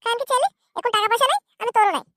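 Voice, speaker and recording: Squeaky, snappish cartoon girlfriend. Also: rapid-fire, fairly bright tone. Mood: angry, dismissive. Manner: dismissive, snappish. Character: fickle, money-minded young girlfriend in a comedy cartoon. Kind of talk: comedy cartoon dialogue.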